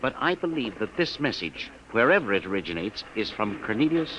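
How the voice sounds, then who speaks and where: A man's voice: on the low side, very animated, a little fast. An actor playing an earnest, easily flustered male records clerk with a stammering eagerness, in 1950s radio drama style. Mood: eager, polite. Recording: noisy, muffled old 1950s radio recording.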